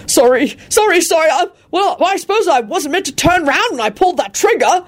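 A woman's voice: very high-pitched, animated, brisk, voice pushed up. A woman voicing a bumbling middle-aged wizard man, stammering and flustered. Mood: frantic.

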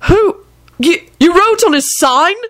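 Woman doing a high, frantic young man protesting. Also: expressive, takes it slowly, fairly bright tone, heavily acted. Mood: frantic, indignant. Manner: yelping, frantic, whiny protest. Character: excitable, defensive young man, panicky and petulant underling. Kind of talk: dramatic storytelling of fan fiction.

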